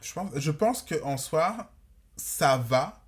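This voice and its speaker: A man's voice: fairly high pitch, expressive, slow pace. Rambling, slangy man in his twenties. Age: 20s. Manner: rambling, slangy, mixes in English words. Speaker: podcast guest. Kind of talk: casual chat.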